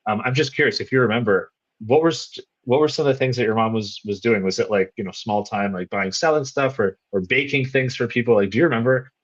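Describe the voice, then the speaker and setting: A man's voice: medium pitch, quick pace, lo-fi sound. A male podcast host asking long, curious, rambling questions with restarts over a remote call. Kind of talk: interview questions, casual.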